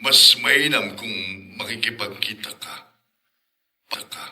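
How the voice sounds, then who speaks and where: Gravelly male voice, sharp edge, worried older uncle, earnest, radio drama. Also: on the low side, unhurried, heavily acted. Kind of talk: Filipino radio drama acting.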